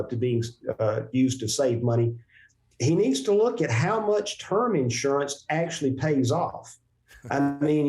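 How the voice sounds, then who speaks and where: A man's voice: a little high, darkish tone. A man, a financial advisor hosting a casual talk, who speaks emphatically and often repeats words as he builds up a point. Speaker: financial advisor, show host. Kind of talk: casual conversation. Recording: roomy echo.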